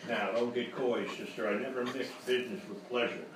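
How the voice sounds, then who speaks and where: A man playing a wisecracking, hard-boiled private detective with deadpan quips in a live radio comedy sketch. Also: medium pitch, fairly quick, natural voice. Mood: deadpan, wry. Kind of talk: radio sketch comedy, noir parody before a live audience. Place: small live venue with audience. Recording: echoey live room, distant mic, noisy.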